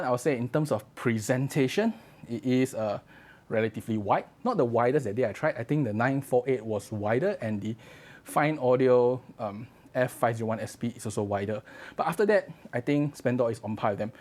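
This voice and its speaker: A man's voice: on the high side, darkish tone. A man reviewing audio gear in a casual, conversational way. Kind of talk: casual spoken product review to camera.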